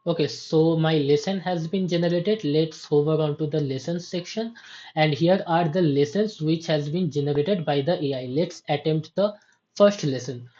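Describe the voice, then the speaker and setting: A woman demonstrating her software in a slow, explanatory way, often restarting her sentences. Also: deep voice, lo-fi sound. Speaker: app developer giving a demo. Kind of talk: screen-recorded software walkthrough.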